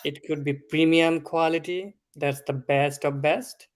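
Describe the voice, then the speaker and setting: Slow, measured man from Bangladesh. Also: fairly high pitch, steady tone. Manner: slow, measured, explanatory with filler ums. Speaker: food safety consultant, guest. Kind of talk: interview answers.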